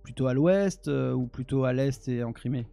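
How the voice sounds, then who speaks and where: A man asking questions in a casual discussion, hesitant and halting as he builds them. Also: mid-range voice, creaky, dark tone, no echo, soft background music.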